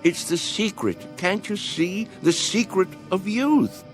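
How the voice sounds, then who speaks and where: A man's voice: high voice, lively intonation, takes it slowly, faint music behind. An actor in a radio drama playing a spirited old man, earnest, dreamy and pleading as he urges his friends to feel young. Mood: urgent, excited.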